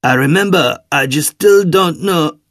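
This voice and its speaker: Audiobook role, read by a male narrator: uneasy middle-aged man, hesitant and broken, raised pitch, very close dry sound. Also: a little slow, fairly bright tone, no echo. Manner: subdued, uneasy.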